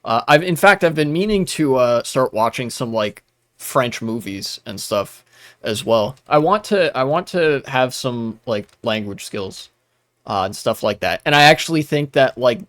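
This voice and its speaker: A man's voice: medium pitch, fairly bright tone, no echo. A young man streaming while gaming, talking casually with many restarts and blunt asides.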